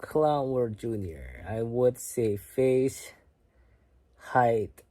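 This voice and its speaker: A man's voice: medium pitch, lively intonation, extremely slow. A young man from Korea chatting casually to his stream at night, musing aloud and sometimes dropping to a whisper. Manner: soft-spoken, musing, sometimes drops to a whisper. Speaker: livestream host reading chat. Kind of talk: casual late-night chat. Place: home at night.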